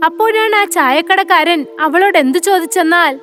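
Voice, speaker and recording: A woman's voice: very high voice, normal speed, fairly bright tone. A woman narrating a scripted moral story for children.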